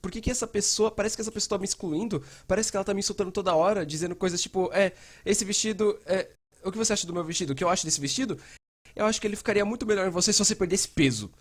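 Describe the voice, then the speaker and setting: A man's voice: high voice, very fast. A Brazilian man dubbing a frank, casual psychotherapist who explains things in a conversational way and acts out bits of dialogue. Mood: mimicking, pointed. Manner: animated, acts out quoted dialogue, casual swearing. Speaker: fan dubber voicing a mental health educator. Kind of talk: dubbed conversational explainer talk.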